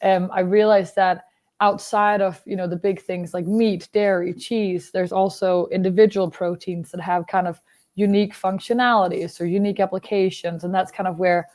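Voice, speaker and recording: A young woman from Denmark, a biotech startup founder, answering interview questions in a relaxed, explanatory way, full of fillers. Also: mid-range voice, normal speed. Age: young adult.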